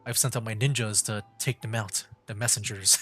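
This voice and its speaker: A man's voice: fairly low pitch, steady tone, moderate pace, fairly bright tone. A man streaming a video game, giving informal, rambling commentary as he reacts to what happens. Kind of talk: casual livestream commentary. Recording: game music behind the voice. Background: game music.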